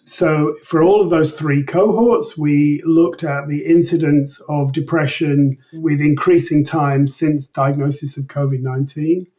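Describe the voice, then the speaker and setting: A man explaining research findings as an interview guest in a measured, methodical manner. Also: fairly high pitch, mellow. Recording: distant mic, dull lo-fi sound.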